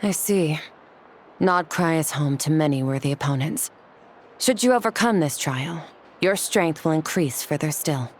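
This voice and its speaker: A woman voicing a cool, aloof young warrior woman in a video game, speaking in a measured, terse and formal way. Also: low-pitched, animated, slight background noise. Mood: solemn.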